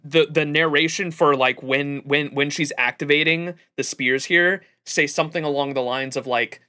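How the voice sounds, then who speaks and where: Male streamer, slightly high bright forceful voice, effusive, sweary, casual commentary. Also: steady tone, moderate pace, clean tone. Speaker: livestream host. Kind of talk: casual livestream reaction commentary.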